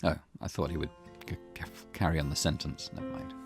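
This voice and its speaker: A man's voice: a bass voice, little pitch movement, brisk, gravelly, soft background music. A man hosting a gaming video, making casual asides between the lines he reads from a visual novel.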